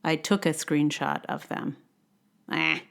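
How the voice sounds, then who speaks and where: A woman with a slightly low voice reads her own essay as a podcast host. Her delivery is conversational and wry. She leans on key words with emphasis and pokes fun at herself. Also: steady tone, slow, gravelly. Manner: wry, emphatic, self-deprecating. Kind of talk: reading a personal essay aloud, conversational.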